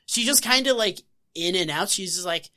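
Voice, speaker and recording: A woman co-hosting a podcast, casually recapping a TV episode with profanity and quick acted-out quotes. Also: lowish voice. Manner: animated retelling with put-on quotes, profane, laughing. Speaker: podcast co-host.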